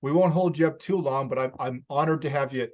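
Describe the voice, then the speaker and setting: A man's voice: mid-range voice, a little fast, darkish tone. A man with a Canadian accent hosting a podcast interview, chatty and laughing easily. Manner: friendly, chatty, laughs often. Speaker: podcast host. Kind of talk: casual interview chat.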